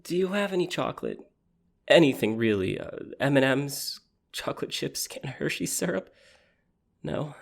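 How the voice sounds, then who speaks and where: A man's voice: mid-range voice, lively intonation, normal speed, creaky. Guy playing a needy catty dumped man, campy comic monologue, rambly and exasperated, voice all over the place. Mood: pleading. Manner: rambling, incredulous, campy complaining.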